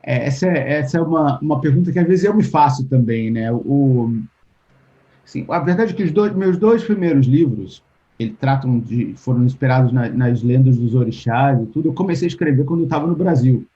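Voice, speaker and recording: Brazilian man, dark lo-fi call voice. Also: medium pitch, fairly quick. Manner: thoughtful, restarts often, acts out quoted exchanges. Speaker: interview guest, writer. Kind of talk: casual interview talk. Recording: dull lo-fi call audio.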